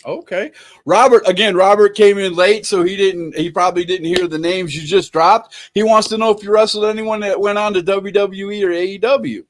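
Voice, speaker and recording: A man's voice: high-pitched, fairly even intonation, moderate pace. A man hosting a casual online interview, reading out viewer questions, enthusiastic and repeating himself. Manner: enthusiastic, repeats words and restarts.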